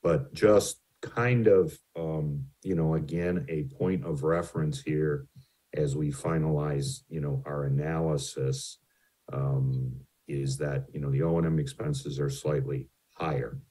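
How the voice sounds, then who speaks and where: A very deep-voiced man talks slowly through slides on a remote call. His tone is dark and mellow, and he hesitates often with ums and restarts while presenting numbers. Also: steady tone, husky. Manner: says his voice tends to carry. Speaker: utility rate consultant presenting to a city.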